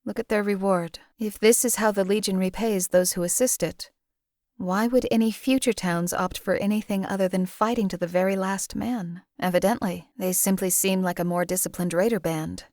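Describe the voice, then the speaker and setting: A woman voicing a cold, detached female hired assassin who speaks in a measured, formal, cynical way. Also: mid-range voice, steady tone, a little mellow, dry recording, clean studio sound, natural voice. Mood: cool, detached. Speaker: companion character voice. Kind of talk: game voice lines.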